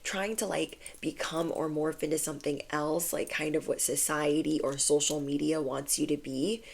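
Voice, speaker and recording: A woman's voice: deep voice, fairly even intonation, moderate pace, vocal fry, darkish tone. A woman giving a casual channeled spiritual message to her viewers, chatty and affirming, with lots of "like". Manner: chatty, affirming, says "like" often. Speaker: spiritual reader.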